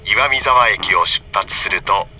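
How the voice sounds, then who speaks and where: A man reading a scripted onboard train announcement for children in friendly, polite, clearly enunciated phrases, heard over a train PA. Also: fairly low pitch, unhurried, sharp tone. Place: train car, over the PA system. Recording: dull train PA with faint noise.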